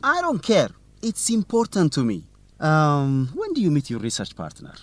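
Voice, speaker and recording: A man's voice: a little high, wildly swooping pitch, normal speed, no room sound. A young man playing a bold, curious student journalist in an educational radio drama, with an inquisitive, teasing manner. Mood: determined. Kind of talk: educational radio drama dialogue, simple clear English.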